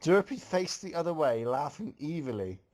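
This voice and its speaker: A man's voice: fairly high pitch, big pitch swings, normal speed, darkish tone. A man reading a fan story aloud in narration, with casual comments of his own.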